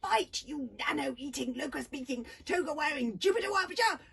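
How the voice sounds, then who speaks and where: A fierce little girl warrior who shrieks and shouts her threats in a high, lively voice, as a woman reads her. She sounds a little distant, with a faint hiss behind her. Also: creaky, full character voice. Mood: furious. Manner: shrill, shouting, rapid chatter. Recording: distant mic with faint noise.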